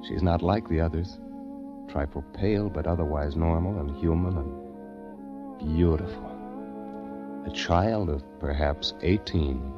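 A man's voice: extremely low pitch, unhurried, long pauses. An actor playing an earnest, uneasy man trapped among strange recluses in a 1940s radio drama, polite, hesitant and brooding. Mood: brooding, haunted. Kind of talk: 1940s radio acting, first-person diary narration. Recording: dull vintage radio recording, music behind.

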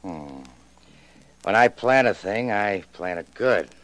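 A low male voice, a smug American crime boss. He is blunt, menacing and self-satisfied, straight out of a 1940s radio crime drama. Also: animated, slow pace, fairly bright tone, no echo. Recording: old 1940s radio recording.

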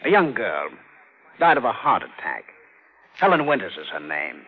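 An actor playing a businesslike, matter-of-fact man with a husky voice in a 1940s radio drama. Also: fairly low pitch, huge pitch swings, normal speed, exaggerated voice. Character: matter-of-fact small-town man, businesslike acquaintance asking favors. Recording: dull old radio broadcast recording.

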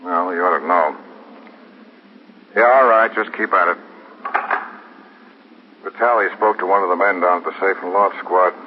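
A dull old radio recording with faint noise carries an actor as a low-pitched American male detective lieutenant. He is businesslike and worried, with clipped, plain delivery. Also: moderate pace, long pauses, close to an everyday voice. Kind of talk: 1950s radio drama acting.

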